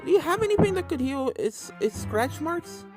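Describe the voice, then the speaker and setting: A young girl pony voiced by a man speaks in a raised, high pitch. The filly is brave but shaken, with a polite, hesitant manner suited to a fan-made storybook adventure. Music plays softly behind. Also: sing-song, normal speed, heavily acted. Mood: worried. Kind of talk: fan fiction character voice.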